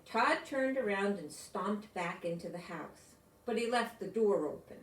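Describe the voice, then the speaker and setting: A woman's voice: mid-range voice, animated, a little slow, a little hiss or hum. An older woman reads a picture book to young listeners. She takes her time and explains things simply. The recording is roomy, with her voice some distance from the microphone. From United States. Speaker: storybook reader. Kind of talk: read-aloud children's story with asides.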